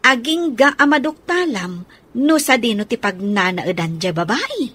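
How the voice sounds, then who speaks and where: A woman's voice: a little high, sing-song, measured pace, fairly bright tone, breathy edge, full character voice. A woman with an Ilocano accent acting in a radio drama as a caring, take-charge godmother. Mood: reassuring.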